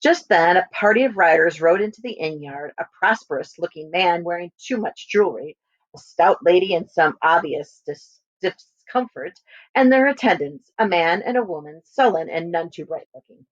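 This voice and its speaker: A woman's voice: on the low side, animated, normal speed, no echo, lo-fi sound. A woman reading a children's novel aloud expressively as a teacher, speaking to students and checking in with them.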